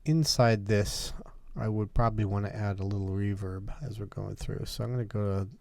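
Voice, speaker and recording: A man teaching music production in a methodical software tutorial, explaining each step as he goes. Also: on the low side, fairly even intonation, normal speed, creaky, dry recording. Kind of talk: software tutorial, talking through steps.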